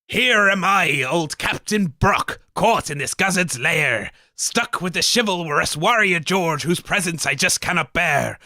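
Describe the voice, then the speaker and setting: A man's voice: a little high, expressive, a little slow, hardly any pauses, bright, forceful tone, dry recording, studio quality, exaggerated voice. A man voicing an evil, scheming pirate captain in rhyming verse. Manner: rhyming villain speech.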